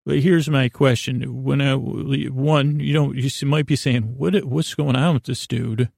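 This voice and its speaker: A man with a gravelly, fry-laden voice hosts a sleep podcast in a dry, close recording. He meanders through a comedic monologue, stopping and drifting off mid-thought. His pitch sits in an ordinary male range. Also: fairly even intonation, darkish tone, no room sound. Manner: meandering, halting, easily distracted, trailing off. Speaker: podcast host. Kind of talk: rambling comedic bedtime monologue.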